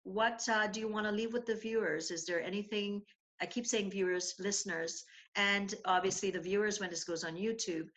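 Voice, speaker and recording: A woman's voice: mid-range voice, fairly even intonation, moderate pace, a bit bright. A woman in her fifties hosting an interview show, speaking in a friendly way with many hesitations. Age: 50s. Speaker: host.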